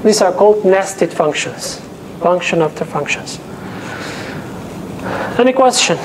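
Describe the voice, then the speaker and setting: A man's voice: high-pitched, expressive, a little slow, background noise. A young man teaching a class in a lecture room, speaking English as a second language and stopping often to check that students follow. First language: not English. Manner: explains step by step, checks in often with "okay?". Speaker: teaching assistant. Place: lecture room with a projector and whiteboard. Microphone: lapel mic.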